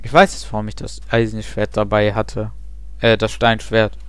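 A man's voice: lowish voice, monotone, moderate pace, clean tone, no room sound. Young man rambling over gameplay. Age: young adult. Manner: chatty, rambling, self-mocking asides. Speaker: let's play host. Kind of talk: casual gameplay commentary.

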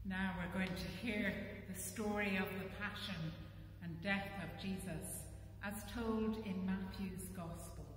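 A middle-aged woman priest leading worship in a measured, solemn, formal reading style, phrase by phrase. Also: medium pitch, steady tone. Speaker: priest leading a church service. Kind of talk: formal liturgical prayer reading. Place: inside a stone church. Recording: very echoey church, distant mic, some noise.

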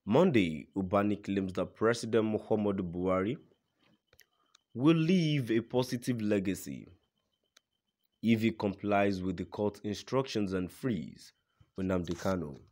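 Man, lowish voice, formal news narrator, scripted read-aloud delivery. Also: measured pace, a little mellow.

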